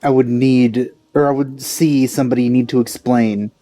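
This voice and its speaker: A man's voice: mid-range voice, fairly even intonation, normal speed, warm tone. A man giving casual let's play commentary on a video game. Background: faint game music.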